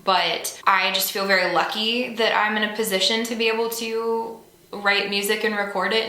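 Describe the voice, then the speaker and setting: A woman's voice: mid-range voice, bright, forceful tone, echoey room, low-quality recording. A young woman, a singer-songwriter, casually answering fan questions in a chatty, self-deprecating way, with plenty of "like" fillers. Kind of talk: casual Q&A chat. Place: home room.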